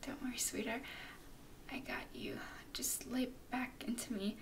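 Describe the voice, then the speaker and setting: A woman playing a tender, doting vampire girlfriend in a soothing ASMR roleplay, mostly in breathy whispers. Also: fairly high pitch, very animated, distant mic, exaggerated voice. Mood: gentle, reassuring. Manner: soothing, affectionate, unhurried. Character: tender, doting female vampire girlfriend comforting her nervous partner.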